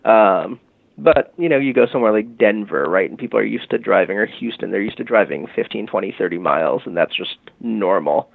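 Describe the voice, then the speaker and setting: Guest interviewee: lowish gravelly male voice, casual explainer, dry phone-line sound. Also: brisk, dry recording. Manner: relaxed, frequent fillers like "you know" and "um". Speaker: guest, music marketing tech company founder. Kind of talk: conversational interview, explaining a product.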